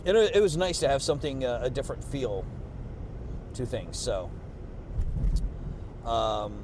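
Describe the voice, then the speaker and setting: A man's voice: medium pitch, unhurried. A worn-out man talking casually after a workout, rambling and swearing with lots of fillers, on a clip-on mic under his jacket. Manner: rambling, profane, with many fillers and restarts. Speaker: fitness vlogger. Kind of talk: casual post-workout vlog talk. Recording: noisy outdoor street recording. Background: traffic and street noise.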